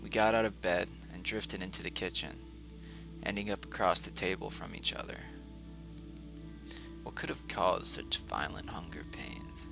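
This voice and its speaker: A man reading a literary short story aloud as scripted first-person narration, measured and broken into short phrases. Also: deep voice, gravelly, music in the background, rough recording. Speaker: audiobook reader.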